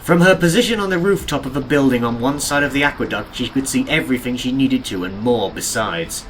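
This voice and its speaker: A man reading scripted audiobook narration of an adventure story in a deliberate, dramatic, descriptive way. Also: mid-range voice, slight background noise, rough recording. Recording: music behind the narration. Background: game and cartoon soundtrack music.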